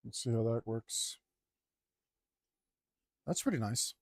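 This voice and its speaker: A man talking casually to himself as he works on a digital drawing, mumbling in a relaxed way. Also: medium pitch, takes it slowly, no room sound, clean studio sound. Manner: mumbling to himself, laid-back. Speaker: digital artist. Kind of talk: casual talk while drawing.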